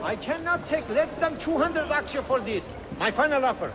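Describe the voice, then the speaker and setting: A man's voice: extremely high pitch, expressive, moderate pace, background noise. An actor playing a wheedling, chatty bazaar rug merchant in a video game, full of theatrical salesman's patter. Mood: haggling, aggrieved. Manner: salesman's patter, mock-offended. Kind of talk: video game character acting.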